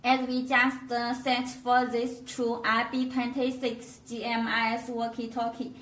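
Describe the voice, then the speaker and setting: High-voiced woman demonstrating in an echoey room. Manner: halting non-native phrasing. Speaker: product demonstrator. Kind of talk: step-by-step product tutorial. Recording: roomy echo, distant microphone.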